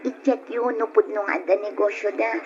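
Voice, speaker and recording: A woman's voice: medium pitch, fairly even intonation, normal speed, a crowd in the background, natural voice. A woman acting in an old radio drama as a troubled, plaintive married woman confiding hesitantly in a relative. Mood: urging. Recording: muffled old radio recording, faint noise.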